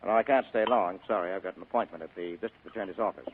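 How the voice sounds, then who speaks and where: An actor playing a tough, wisecracking private detective in 1940s radio style, clipped and insistent. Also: male voice, low voice, very fast, a little hiss or hum, close to an everyday voice. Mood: dry, guarded. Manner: clipped, hard-boiled, insistent. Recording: muffled old radio broadcast recording.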